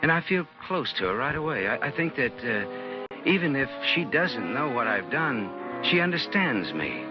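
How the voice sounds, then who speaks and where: A troubled man on the run played by an actor, fearful and hesitant, with a mid-pitched voice that rises and falls widely. It is vintage radio drama, muffled, with loud music behind. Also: very animated, normal speed, lightly acted. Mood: brooding, haunted. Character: haunted, fearful fugitive man hiding among sailors. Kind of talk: old-time radio drama acting with first-person narration.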